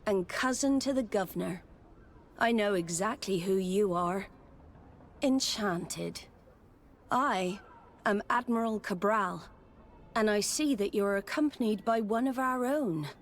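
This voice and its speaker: A woman's voice: mid-range voice, a little slow, pauses often, slight background noise, natural voice. An actress playing a commanding, shrewd female admiral in a video game, formal and assured in her authority. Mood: formal, knowing. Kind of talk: video game dialogue acting.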